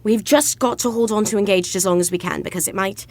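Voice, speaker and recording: A woman's voice: mid-range voice, fast, darkish tone, no echo, natural voice. An actress playing a studious, insistent young woman in a romantic comedy audio drama, wry and a little flustered in her confidence. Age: young adult. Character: brisk, bossy, studious young woman scheming fake engagement.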